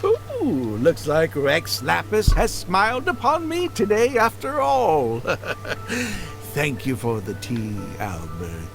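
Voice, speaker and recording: An actor playing a genial older guide in a video game, a wheedling, easily flustered gambler with a courteous, hesitant delivery. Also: male voice, on the high side, huge pitch swings, slow pace, creaky, music in the background, exaggerated voice. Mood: pleased, giddy.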